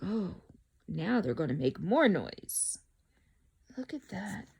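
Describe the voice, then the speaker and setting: A woman's voice: on the low side, big pitch swings, slow, gravelly, a little mellow, slightly rough. A woman reading a children's story aloud expressively, dramatic in delivery and addressing young listeners.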